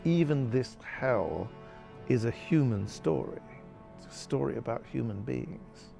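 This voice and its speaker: A man gives reflective interview commentary in a mid-range voice with plenty of creak. He pauses and searches for words as he thinks aloud. Music plays behind him. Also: mid-range voice, animated. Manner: thoughtful, halting, searching for words.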